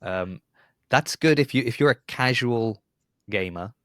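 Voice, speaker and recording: A man's voice: medium pitch, animated, a little slow, a bit bright, no echo, studio quality. A man hosting a podcast in casual conversation, chatty and rambling with a wry streak. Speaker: podcast host.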